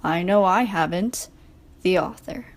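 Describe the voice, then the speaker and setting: A woman's voice: lowish voice, unhurried. A woman narrating a scripted children's storybook.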